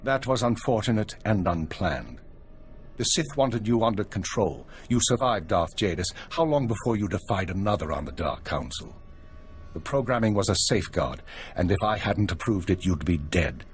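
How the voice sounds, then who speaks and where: An unflappable spymaster acted by a man in a sci-fi game cutscene. His voice is low, and he speaks in a measured, matter-of-fact, authoritative way with calculating control. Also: lively intonation, slight background noise, lightly acted. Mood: cool, controlled. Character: calculating male intelligence minister, unflappable spymaster. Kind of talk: video game cutscene acting.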